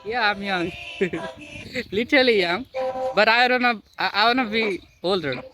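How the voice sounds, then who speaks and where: A man's voice: sits high, moderate pace, music in the background. Hesitant young Bangladeshi man. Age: 20s. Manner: hesitant, stumbling, laughs often. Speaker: vlogger practicing English. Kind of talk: casual video chat.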